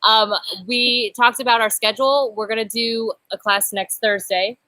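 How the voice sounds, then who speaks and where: A woman's voice: fairly high pitch, steady tone, normal speed, no room sound, low-quality recording. A young woman hosting a casual live stream from an outdoor patio, chatty and upbeat, picked up by a laptop webcam. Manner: chatty, upbeat, self-described loud voice. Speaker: channel host.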